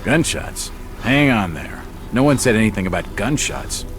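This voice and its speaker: An actor playing a gruff, sceptical police detective, curt and sardonic in hard-boiled game dialogue. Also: male voice, on the low side, expressive, normal speed, faint music behind, lightly acted. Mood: irritated, wary. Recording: loud storm noise over dialogue.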